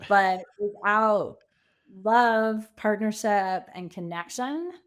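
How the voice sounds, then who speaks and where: A woman's voice: mid-range voice, slow pace, clean tone. A middle-aged woman answering interview questions conversationally, in a reflective, earnest way with emphasis on key words. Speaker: podcast guest, coach and author.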